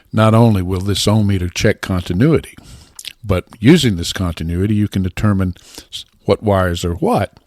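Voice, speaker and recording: Older male hobbyist presenter, lowish register, matter-of-fact informal explainer, very little room sound. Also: dry recording, clean studio sound. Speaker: amateur radio hobbyist presenting a video.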